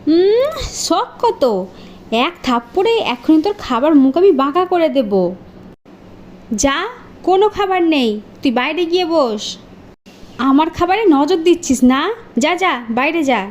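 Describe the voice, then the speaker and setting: A woman voicing a cruel, sharp-tongued mother in a melodramatic cartoon, scolding and threatening. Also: very high voice, expressive, a little slow, pauses often. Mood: harsh, bullying.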